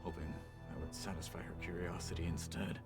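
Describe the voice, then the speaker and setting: Deep-voiced stoic warrior played by a man. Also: little pitch movement, over loud music, lightly acted. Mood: resolute, formal. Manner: measured, formal, solemn.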